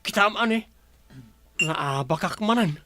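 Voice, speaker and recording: A man's voice: sits high, animated, a little slow, pushed and bright, no echo, heavily acted. An actor in a Filipino radio drama playing a laid-back man who gambles with his buddy. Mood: casual.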